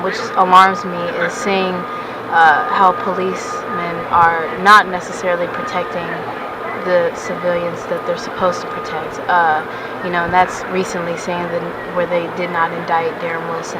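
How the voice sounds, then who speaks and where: Freshman woman, 18, steady and deliberate, low flat delivery; distant mic, heavy noise. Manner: earnest, deliberate, rhetorical questions. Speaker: interviewee, university freshman. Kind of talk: casual interview answers.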